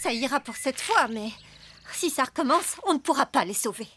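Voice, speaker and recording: A woman's voice: sits high, expressive, moderate pace. A woman playing an anxious, dramatic suburban wife in a cartoon. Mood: serious, worried. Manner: exaggerated, fretful. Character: anxious, dramatic suburban wife who loves her garden. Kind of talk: cartoon dub acting. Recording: music under the voice.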